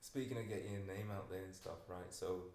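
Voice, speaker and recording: Deep, flat-voiced young host in a roomy space. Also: male voice, brisk, creaky. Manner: laid-back, rambling, heavy use of "like". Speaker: podcast host. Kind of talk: casual podcast chat. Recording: roomy room with faint noise.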